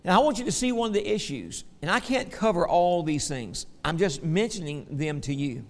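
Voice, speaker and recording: A man with a Southern American accent teaching a Bible lesson, folksy in his asides and slow and emphatic when he reads scripture. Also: fairly high pitch, lively intonation, long reverb tail. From Southern United States.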